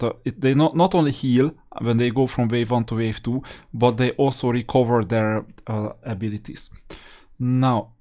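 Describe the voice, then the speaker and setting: A man giving casual gameplay commentary as a guide, explaining his choices and thinking aloud in a halting way. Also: medium pitch, dark tone.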